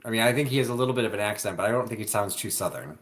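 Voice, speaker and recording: Low-voiced Midwestern man co-hosting. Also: racing, fairly bright tone. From Chicago suburbs. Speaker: live sale co-host. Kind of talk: casual live-stream chat.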